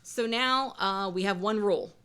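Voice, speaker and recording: A woman's voice: medium pitch, moderate pace, fairly bright tone, smooth. A woman casually teaching people how to play a card game as one of its designers.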